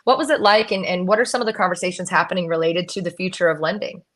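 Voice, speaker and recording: A woman's voice: fairly low pitch, fairly even intonation, fast. A woman hosting a live Q&A in a casual, chatty and playful way, with a promotional streak. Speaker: host of a live real estate Q&A.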